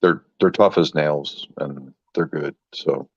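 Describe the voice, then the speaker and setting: A man in his fifties with a very low voice, talking casually and haltingly about his fish tanks. He keeps restarting his sentences and hunting for words. The sound is dry and a little dull, like a modest lo-fi recording. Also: no echo. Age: 50s. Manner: halting, repeats words, searches for words. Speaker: fish keeper. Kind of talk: casual chat.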